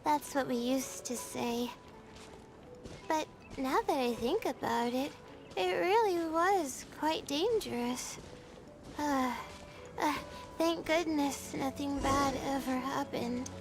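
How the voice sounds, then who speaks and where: A gentle nostalgic game girl, young adult, really high voice, takes her time. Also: slow. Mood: reflective. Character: wistful, gentle young adult woman reminiscing about childhood. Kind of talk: game character dialogue. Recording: game music behind the voice. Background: background game music.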